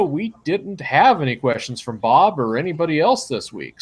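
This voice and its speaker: A man in his thirties hosting a casual podcast, upbeat and a little rambling, speaking into a headset mic in a small room at home. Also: medium pitch. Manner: upbeat, rambling, filler-heavy. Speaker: podcast host.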